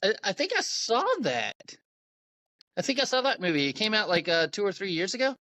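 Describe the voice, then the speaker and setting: Bright, lively man. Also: sits high, fairly quick, dry recording. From Texas. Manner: playful, joking. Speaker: guest filmmaker. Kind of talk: casual podcast chat.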